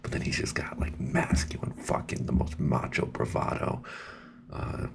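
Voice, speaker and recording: A young man making an ASMR video, talking softly in a laid-back, slangy way and often whispering. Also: moderate pace. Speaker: ASMR creator. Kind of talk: casual ASMR ramble. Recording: clear background noise.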